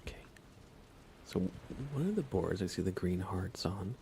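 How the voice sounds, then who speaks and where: A man softly thinking aloud in an unhurried, whispered ASMR style as he narrates a video game livestream. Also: low voice, very animated, slight background noise. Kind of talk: ASMR gaming commentary, whispered and soft-spoken.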